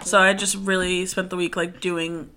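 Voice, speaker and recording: A woman's voice: lowish voice, flat delivery, moderate pace, bright, forceful tone. A woman in her twenties co-hosting a podcast, telling stories in casual, informal chat. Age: 20s. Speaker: podcast co-host.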